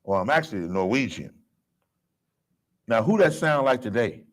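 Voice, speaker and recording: A man's voice: medium pitch, big pitch swings, normal speed. A man, leading a scripture class in a casual, coarse way and quizzing his listeners with "right?". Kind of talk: street-style scripture lecture.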